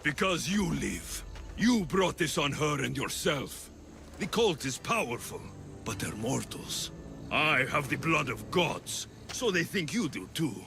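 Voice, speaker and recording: Arrogant young male demigod, game villain, gravelly, sneering, threatening, music underneath. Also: fairly high pitch, very animated, unhurried, pushed and bright, heavily acted. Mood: menacing. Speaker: villain in a video game cutscene.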